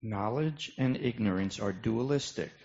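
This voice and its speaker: A man's voice: fairly low pitch, measured pace, creaky, a little mellow. A man playing a composed bodhisattva in an amateur dramatic scripture reading, with a plain delivery. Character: composed male bodhisattva stating a doctrine. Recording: dull lo-fi recording.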